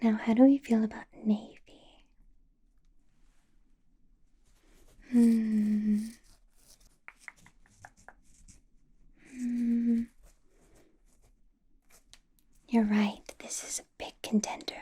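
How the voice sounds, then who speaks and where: A woman playing a doting, possessive female vampire boss in an intimate ASMR roleplay, coaxing and flirting in a breathy whisper. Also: on the high side, level tone, a little slow, dark tone. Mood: adoring, flirtatious. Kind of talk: ASMR roleplay, personal attention.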